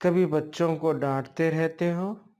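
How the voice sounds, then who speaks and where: Concerned, questioning wife as a male storyteller reads her, slow delivery, horror tale. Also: on the high side, steady tone, warm tone, natural voice. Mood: worried, scolding. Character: worried wife questioning her changed husband.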